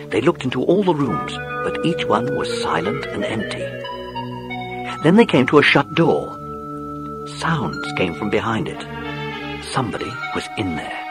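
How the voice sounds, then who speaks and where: Man, lowish voice, very expressive intonation, suspenseful children's story narration, music behind.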